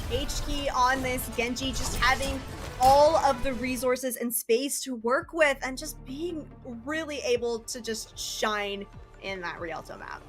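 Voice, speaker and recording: High-pitched woman commentating esports. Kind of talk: live esports analysis and commentary. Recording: music behind the voice. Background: background music.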